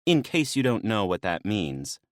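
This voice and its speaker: A man voicing a sharp-tongued, bossy female maenad with a dry, mocking manner. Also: fairly low pitch, sing-song, normal speed, dry recording, pitched above the performer's own voice. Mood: sarcastic.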